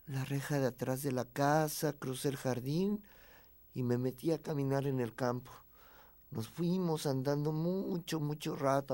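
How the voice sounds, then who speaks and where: A man with a Mexican accent playing a tender young boy who tells his own story with expressive, confiding emotion. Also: medium pitch, natural voice. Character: young boy tenderly recalling his beloved piggy bank. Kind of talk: dramatic first-person storytelling.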